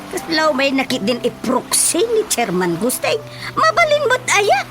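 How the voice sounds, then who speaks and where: A self-important female village councilor in a Filipino-accented radio comedy. Her voice sits high and swings widely, with emphatic, drawn-out exclamations. Music plays behind her. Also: wildly swooping pitch, full character voice. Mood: assertive, pompous. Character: proud, chatty woman village councilor, self-important and ambitious. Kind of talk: radio comedy-drama acting.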